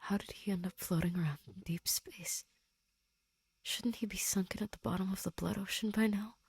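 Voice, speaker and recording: A woman's voice: medium pitch, fairly even intonation, moderate pace, creaky, breathy edge, dry recording. A woman narrating a scripted story expressively as a reader of fan fiction.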